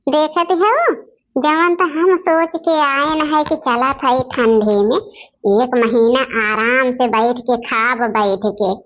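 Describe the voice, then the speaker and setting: A woman voicing grumbling, gossipy village sisters-in-law in a cartoon, speaking with an Awadhi accent and a drawn-out delivery. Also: sits high. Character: scheming, complaining village women, sisters-in-law in family squabble. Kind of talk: cartoon dialogue in rural dialect.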